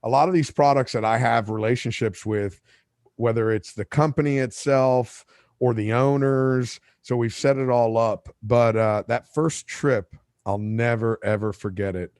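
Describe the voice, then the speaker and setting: A man's voice: mid-range voice, steady tone, unhurried, darkish tone, no room sound, clean studio sound. A man whose first language is Spanish, hosting a podcast and telling a long story in a casual, rambling way with lots of asides and restarts. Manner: rambling, self-correcting, with asides. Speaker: podcast host.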